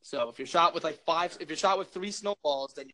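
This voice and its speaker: A young man with a loud, projecting voice, rambling jokily through a casual chat as co-host. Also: fairly high pitch, fairly quick. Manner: rambling, filler-heavy, jokey.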